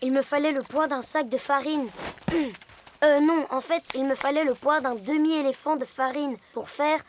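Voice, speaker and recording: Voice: high voice, fairly even intonation, moderate pace, noisy background, close to an everyday voice. A performer voicing an earnest, methodical young hippo baker who is easily discouraged, in a French children's cartoon. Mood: explaining. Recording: loud children's music underneath.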